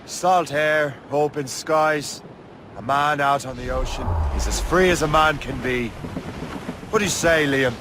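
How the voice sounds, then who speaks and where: An actor playing a free-spirited young Irish sailor in a video game, easygoing and wry. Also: male voice, a little high, unhurried, close to an everyday voice. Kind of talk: dramatic video game cutscene acting. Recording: noisy open-sea ambience behind the voice. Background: waves and boat ambience.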